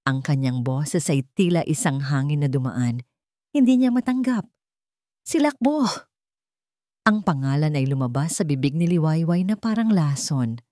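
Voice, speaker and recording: A woman storyteller reading scripted dramatic fiction in a solemn, suspenseful way with deliberate phrasing. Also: low-pitched, animated, warm tone, dry recording, clean studio sound.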